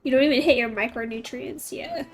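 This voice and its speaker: A woman's voice: a little high, a little fast. A woman chatting casually and informally in a rambling way while she plays a game. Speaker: game streamer. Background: game music.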